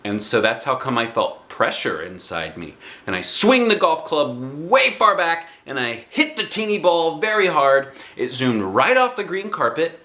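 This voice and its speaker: A man in his forties reading a children's book aloud expressively, with dramatic pauses and emphasis. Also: fairly high pitch, sing-song, bright, forceful tone. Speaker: storybook reader. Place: small living room indoors.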